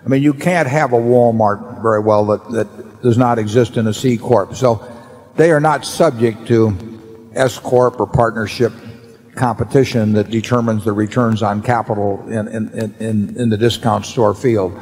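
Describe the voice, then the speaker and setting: A man's voice: lowish voice, steady tone, moderate pace, darkish tone. An older man on stage at a table answering a question, rambling and repeating words as he talks. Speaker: company chairman answering questions. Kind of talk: unscripted answer at shareholder meeting. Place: large hall on stage at table. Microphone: table mic. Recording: long hall reverb.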